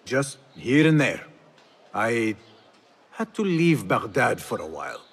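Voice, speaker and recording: An actor playing a young former street thief turned assassin in a video game, speaking in an earnest, measured, courteous way. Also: male voice, mid-range voice, sing-song, breathy edge, full character voice. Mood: friendly, guarded. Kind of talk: video game character acting.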